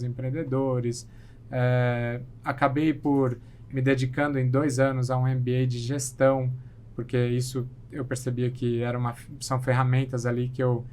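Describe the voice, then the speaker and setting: A middle-aged man with a Brazilian accent gives conversational interview answers at a medium pitch. He often rephrases as he goes, and there is faint noise behind him. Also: darkish tone. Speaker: podcast guest, plastic surgeon.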